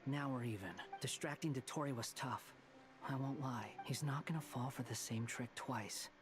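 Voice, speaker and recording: A man's voice: mid-range voice, moderate pace, music in the background, close to an everyday voice. A man voicing a prickly, aloof young man in a video game, speaking in a dry, curt manner. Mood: aloof, grim.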